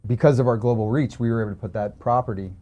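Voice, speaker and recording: A man's voice: medium pitch, steady tone, very fast, dark tone. A man, a real estate agent, speaking in a confident, matter-of-fact way in an interview. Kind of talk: interview conversation.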